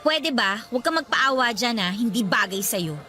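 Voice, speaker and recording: Bright, high, sassy young Filipina girlfriend. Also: animated, normal speed, faint music behind. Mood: irritated, defensive. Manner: sassy, sharp-tongued. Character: feisty young student girlfriend, irritable, teasing, evasive. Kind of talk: Filipino radio romance drama acting.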